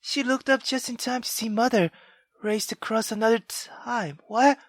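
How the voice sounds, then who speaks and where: A woman reading a visual novel aloud as a let's player, in an expressive, dramatic manner. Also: fairly high pitch, normal speed, no echo, clean studio sound.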